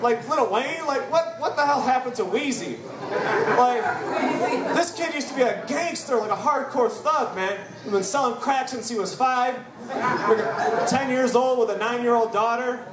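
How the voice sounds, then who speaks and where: Rambling, self-mocking man comic with a high voice, recorded from a distance in an echoey room with a loud crowd. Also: normal speed, very noisy. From United States. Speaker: stand-up comedian. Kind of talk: stand-up comedy set. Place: large indoor venue.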